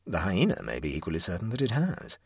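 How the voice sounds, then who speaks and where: A man's voice: deep voice, huge pitch swings, fast talker, vocal fry, husky, no room sound, clean studio sound, heavily acted. A man voicing an idle, flippant young house guest in a storybook, lazily and drily mocking. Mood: mock reasoning.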